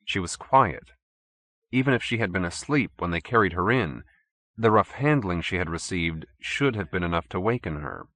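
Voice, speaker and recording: A man's voice: deep voice, animated, normal speed, pushed and bright. A man reading fiction as an audiobook narrator, in scripted storytelling style.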